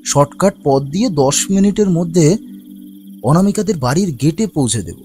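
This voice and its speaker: A man voicing a sly young village thug in an animated ghost story, falsely polite and pushy. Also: fairly high pitch, moderate pace, faint music behind, lightly acted. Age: young adult.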